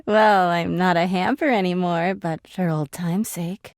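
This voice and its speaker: An actress voicing a needy, volatile, lovesick living laundry hamper in a video game, melodramatic and possessive in manner. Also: female voice, lowish voice, steady tone, measured pace, no echo, clean studio sound. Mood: empty, wistful. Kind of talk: video game character acting.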